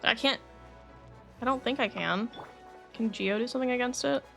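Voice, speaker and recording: A woman's voice: fairly high pitch, moderate pace, creaky, pushed and bright. A woman doing livestream commentary on a game, casually talking through what she is playing, with music behind her. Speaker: game streamer. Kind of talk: livestream gaming commentary mixed with reading dialogue aloud.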